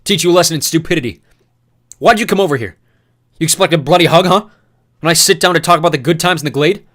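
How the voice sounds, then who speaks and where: A man's voice: medium pitch, quick pace, close to an everyday voice. A man voicing a furious young man sliding into madness, ranting and screaming bitter accusations. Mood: raging. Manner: screaming, ranting, spitting rage.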